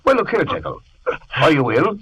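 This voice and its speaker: A brisk, proper gentleman doctor. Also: fairly high pitch, big pitch swings, far from the mic, rough recording. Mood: alarmed. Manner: brisk, commanding, alarmed. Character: Dr. Lanyon, middle-aged gentleman doctor and father, brisk and proper. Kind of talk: 1940s radio acting.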